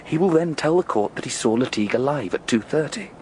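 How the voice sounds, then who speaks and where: An actor playing a scheming, exultant gentleman plotting murder in a radio drama, with a theatrical, coaxing, intense delivery. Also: medium pitch, animated, quick pace, a little hiss or hum, close to an everyday voice. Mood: urgent, gleeful.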